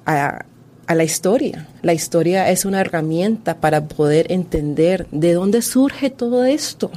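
A Colombian-born woman, a historian and author, answering interview questions in an earnest, measured way with frequent restarts. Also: fairly low pitch, dark tone.

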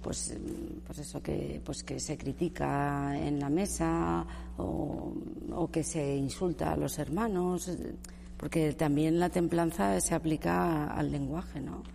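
A woman speaking as a panel guest, explaining in a measured way, with many fillers and tag questions. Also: deep voice, fairly even intonation, creaky, mellow. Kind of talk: discussion panel. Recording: reverberant room with faint noise.

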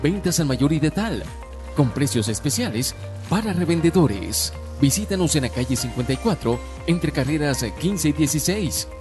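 A man's voice: a little high, animated, normal speed. A man reading a scripted radio commercial in a polished, persuasive announcer delivery. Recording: radio ad over loud music. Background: background music.

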